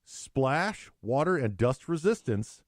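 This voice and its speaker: A middle-aged man co-hosting a podcast in casual, joking, sarcastic chat, telling stories with animated delivery. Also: medium pitch, slow pace, dry recording, clean studio sound. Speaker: podcast co-host.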